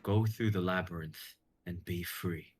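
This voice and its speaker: An earnest, brotherly hero of myth, played by a man speaks in a low, husky voice. It is a dramatic script reading, delivered with compassion. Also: unhurried.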